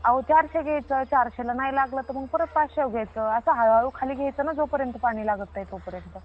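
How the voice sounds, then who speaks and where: A woman's voice: high-pitched, quick pace. A woman playing a stubborn, self-assured water diviner with a rural Maharashtrian Marathi accent, arguing insistently and repeating herself in a comic phone-call skit. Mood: confident, insistent.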